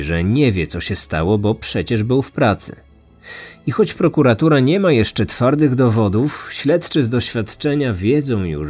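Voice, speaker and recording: A man's voice: lowish voice, darkish tone. A man narrating a scripted true crime story as the host of a podcast. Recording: music behind the voice. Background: background music.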